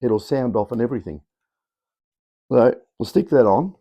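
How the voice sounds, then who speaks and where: Middle-aged Australian bloke, gravelly and husky, offhand workshop chatter, camera mic in a cluttered room. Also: male voice, mid-range voice, expressive, normal speed, dark tone. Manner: rambling, offhand, chatty explaining. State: croaky from talking all day. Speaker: electronics hobbyist host. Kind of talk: casual workshop demo. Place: cluttered home workshop, indoors. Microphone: camera mic on tripod.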